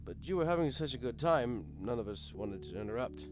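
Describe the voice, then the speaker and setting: Male reader as loyal pony soldier, male, formal, deferential, apologetic, storybook reading, clear music behind. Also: mid-range voice, expressive, a little fast, close to an everyday voice. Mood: apologetic, drunk. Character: loyal drunken male pony guard captain, dutiful and apologetic.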